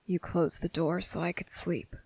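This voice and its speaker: A woman voicing a guarded, fed-up young boy in a hushed, halting ASMR roleplay monologue. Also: on the low side, steady tone, creaky, slightly rough. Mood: quiet, reflective. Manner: hushed, halting, pauses mid-thought.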